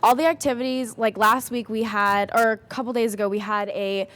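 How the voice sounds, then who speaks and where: A woman's voice: on the high side, steady tone, fairly quick, fairly bright tone. A young woman, a student candidate, gives a chatty, upbeat campaign speech. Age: young adult.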